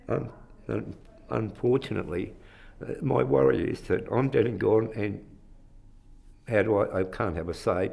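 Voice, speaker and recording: A man in his sixties with an Australian accent, speaking plainly and haltingly in a documentary interview as a citizen juror. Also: on the low side, creaky, a little mellow. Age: 60s. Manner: plain-spoken, halting, with fillers.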